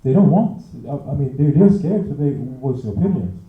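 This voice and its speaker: A man's voice: fairly high pitch, expressive, a little fast. A young man from Sweden, a student, introducing himself and hesitating often. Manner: hesitant, many fillers. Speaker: student participant. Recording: tinny and echoey.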